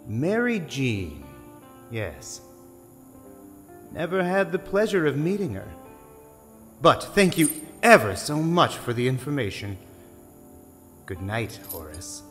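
A man playing a menacing, smooth-talking crooked federal agent in a 1920s audio drama, taunting and mock-polite. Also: mid-range voice, very animated, slow pace, pauses often, a bit bright. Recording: long reverberant hall sound, faint music behind.

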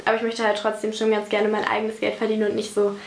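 A woman's voice: mid-range voice, completely flat delivery, fast, a little hiss or hum. Chatty young woman in an echoey bedroom. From Germany. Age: young adult. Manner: chatty, rambling with many fillers. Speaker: vlogger. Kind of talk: casual chat while baking. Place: bedroom at home, indoors.

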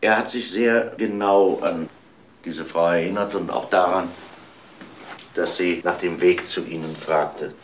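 A man acting a low, insistent police inspector. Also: fairly even intonation, slight background noise. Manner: deliberate, emphatic interrogator. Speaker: actor in an amateur crime audio play. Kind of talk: German radio crime drama acting.

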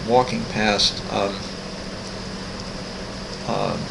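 A man in his sixties, an artist walking through his website, talking casually with many ums, pauses and restarts. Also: lowish voice, fairly even intonation, vocal fry, background noise. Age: 60s. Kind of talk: casual screen-recorded walkthrough.

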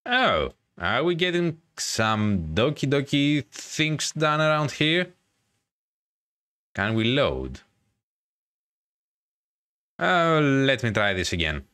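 A man's voice: mid-range voice, sing-song, slow pace, pauses often, sharp tone, clean tone, dry recording, studio quality. A man hosting a gaming video, giving commentary on a visual novel playthrough. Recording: music behind the voice. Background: game music.

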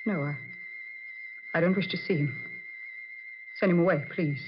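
A woman's voice: on the low side, normal speed, over music, natural voice. An actress playing a wary, haughty woman with an imperious manner, in 1940s radio drama style. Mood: fearful. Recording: dull 1940s radio recording.

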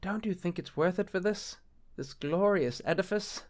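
An actor playing a proud, jealous middle-aged bishop in a radio-style drama, curt and indignant. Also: male voice, high voice, normal speed, natural voice. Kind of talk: radio-play style acting.

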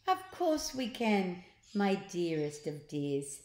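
A woman's voice: fairly low pitch, very animated, slow, a little mellow. A woman voicing a greedy, two-faced female ice cream seller in a children's storybook, by turns sugary and nasty. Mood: sugary nice. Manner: sugary when nice, barking when nasty. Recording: roomy home recording.

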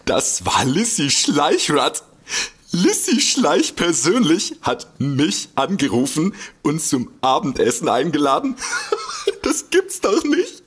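Swoopy-voiced, flustered fanboy detective. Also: male voice, fairly high pitch, huge pitch swings, slow pace, breathy edge, heavily acted. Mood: giddy delight. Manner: stammering, gushing, giggly, easily flustered. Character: excitable, smitten, bumbling male detective fan. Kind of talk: comedic German audio drama.

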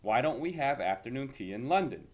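A man's voice: medium pitch, sing-song, fairly quick. A man voicing friendly, polite talking cows from outer space in a storybook, genial and exclamatory. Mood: genial, eager.

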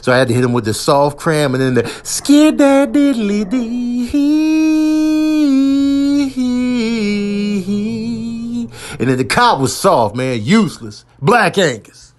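Man voicing an indignant, cocky bull, lively pitch. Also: takes it slowly, long pauses, soft background music, heavily acted. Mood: boastful. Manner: aggrieved, boastful, slangy street talk. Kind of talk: comedic animal voiceover.

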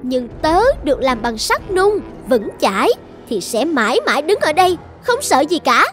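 A woman voicing a haughty, boastful iron jar in an animated fairy tale, talking scornfully. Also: high-pitched, moderate pace, faint music behind. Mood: defiant.

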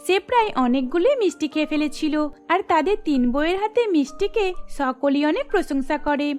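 A woman's voice: high voice, lively intonation, normal speed, darkish tone, soft background music. A woman reading scripted narration for a cartoon story as its storyteller.

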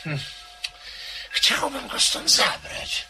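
A man playing a polite, slightly nervous visiting gentleman in a radio drama. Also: drawn-out words, faint music behind, heavily acted. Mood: uneasy.

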